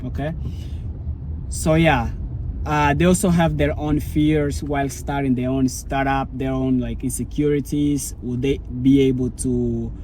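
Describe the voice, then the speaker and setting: A man's voice: mid-range voice, steady tone, measured pace, warm tone, a little hiss or hum, rough recording. A man whose first language is Portuguese, a startup founder telling his story in a rambling, casual vlog. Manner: rambling, searching for words.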